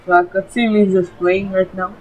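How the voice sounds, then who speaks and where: A woman's voice: medium pitch, little pitch movement, moderate pace, darkish tone, highs cut off, slight background noise. A woman giving casual let's-play commentary, informal and full of fillers as she reacts aloud. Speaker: gaming YouTuber.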